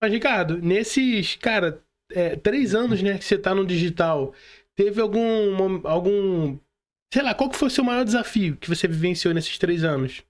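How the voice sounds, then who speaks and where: A man's voice: sits high, expressive, normal speed. A man with a Brazilian accent hosting a casual interview, speaking in slang and repeating words for emphasis. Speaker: podcast host.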